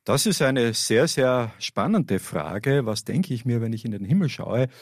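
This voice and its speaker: A man's voice: medium pitch, animated, measured pace, no echo, clean studio sound. A man with an Austrian accent giving explanatory answers as an expert guest in a radio interview. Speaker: interview guest, astrophysics professor. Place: small radio studio at a school. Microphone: studio microphone.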